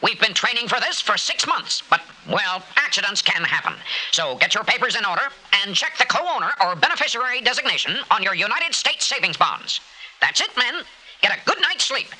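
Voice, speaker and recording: A man plays a brisk commander in an old radio advert. His voice is very sharp and raised high, swinging widely in pitch as he gives a clipped, mock-solemn briefing. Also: big pitch swings, exaggerated voice, low-quality recording. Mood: brisk, earnest. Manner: rapid, clipped, earnest briefing. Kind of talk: radio public-service advert skit.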